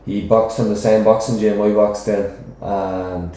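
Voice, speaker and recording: Dublin man, deep mellow voice, echoey room. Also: level tone, unhurried. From Ireland. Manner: rambling, frequent "do you know what I mean". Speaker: professional boxer, guest. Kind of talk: casual interview chat.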